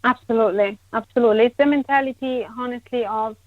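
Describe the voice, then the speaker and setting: A woman from Italy, a guest in a conversational interview, emphatic and quick to repeat her agreement. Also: on the high side, dry recording. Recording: tinny phone-line sound, dry.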